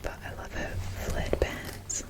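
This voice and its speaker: A young adult woman whispering in a casual ASMR show-and-tell style, breathy and enthusiastic, with halting, unhurried phrasing. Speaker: ASMR video creator.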